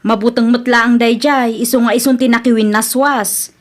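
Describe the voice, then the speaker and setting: A woman acts an outspoken young woman in a comedy radio drama. She has a high voice and sounds stubborn and thoroughly fed up. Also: fairly even intonation, moderate pace, natural voice.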